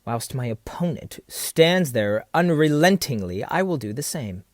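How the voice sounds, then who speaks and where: A man's voice: mid-range voice, lively intonation, unhurried, no room sound, clean studio sound, lightly acted. A man playing a proud, stubborn military captain and duelist, haughty and defiant in formal audio-drama lines. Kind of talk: stage-play audio drama acting.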